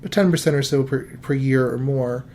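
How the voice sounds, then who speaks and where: A man in his thirties hosting a podcast, giving financial advice in a relaxed, rambling way full of fillers and restarts. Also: medium pitch, brisk, a little hiss or hum. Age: 30s. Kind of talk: casual podcast chat.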